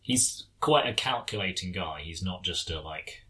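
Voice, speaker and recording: A man's voice: deep voice, animated, a little slow, pushed and bright. An English man in casual conversation about a film, thoughtful and hesitant, often trailing off mid-sentence. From England.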